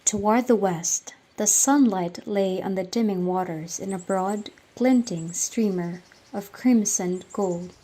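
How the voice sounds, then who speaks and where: A young woman with a Filipino accent reading literary narration for a radio drama in a measured, solemn storytelling manner. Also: medium pitch, dark tone, low-quality recording. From Philippines. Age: young adult.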